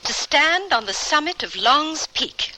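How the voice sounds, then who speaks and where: A woman's voice: high-pitched, sing-song, a little slow, very noisy. An actress playing a determined, proper Victorian lady traveller, formal and composed, in an old radio drama. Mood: courteous, determined.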